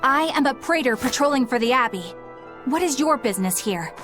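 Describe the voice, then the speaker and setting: A woman's voice: sits high, lively intonation, fairly quick, over music. An actress playing a formal, earnest young female exorcist in a game.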